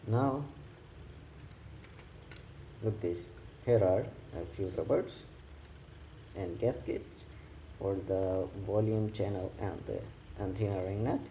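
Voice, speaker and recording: A man who speaks English as a second language, giving hands-on repair instructions in a halting, simple step-by-step way. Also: lowish voice, mellow. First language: not English. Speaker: repair tutorial host. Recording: echoey room with clear background noise.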